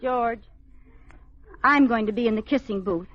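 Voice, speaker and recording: Coy american housewife, high voice, sweetly pointed, old radio comedy, muffled n noisy. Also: female voice, measured pace, fairly bright tone, dry recording. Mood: mock-innocent. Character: coy, scheming housewife feigning breezy unconcern. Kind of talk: 1950s American radio sitcom acting.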